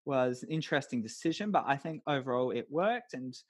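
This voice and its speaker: A man's voice: medium pitch, expressive, fairly quick, no echo, clean studio sound. A man co-hosting a podcast about films in a casual, conversational way, often dropping "you know" into his sentences. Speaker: podcast co-host discussing films. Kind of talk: casual chat.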